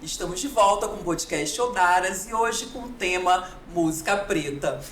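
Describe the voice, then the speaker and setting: A woman's voice: lowish voice, moderate pace, soft background music. A woman presenting a podcast and chatting casually with a guest. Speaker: podcast host. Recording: echoey room with background noise.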